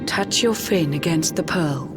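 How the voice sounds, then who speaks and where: A woman's voice: deep voice, measured pace. A woman playing a regal mermaid queen in a video game, speaking stately, grave rhyming narration over music. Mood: solemn, mystical.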